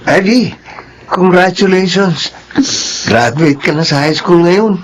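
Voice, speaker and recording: A man's voice: sits high, slow pace, a little hiss or hum, rough recording. A man playing a cheerful, supportive teenage foster brother in a radio drama. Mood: cheerful, teasing.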